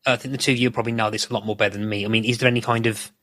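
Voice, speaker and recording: A man's voice: medium pitch, flat delivery, very fast, fairly bright tone, no echo, studio quality. A man hosting a podcast, discussing analytically but casually, circling back over his phrases as he goes. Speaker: podcast host.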